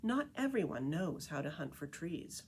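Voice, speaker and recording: Female library storyteller, slightly low voice, animated and emphatic read-aloud delivery for young listeners. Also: moderate pace, slight background noise. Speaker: librarian reading a picture book aloud.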